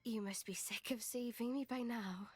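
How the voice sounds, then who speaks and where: A woman's voice: a little high, moderate pace, no room sound, close to an everyday voice. An actress voicing a heartfelt, stubborn young woman adventurer in a video game drama. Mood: weary. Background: music.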